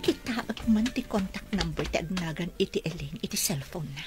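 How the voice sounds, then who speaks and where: Suspicious, secretive wife, played by a woman: lower female voice, Ilocano accent, radio drama, prominent music behind. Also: lively intonation, brisk, natural voice. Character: suspicious, secretive wife checking on her husband.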